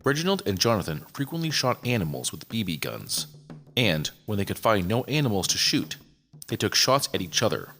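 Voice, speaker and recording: A man's voice: on the low side, a bit bright. A man reading a scripted true-crime story as a podcast narrator.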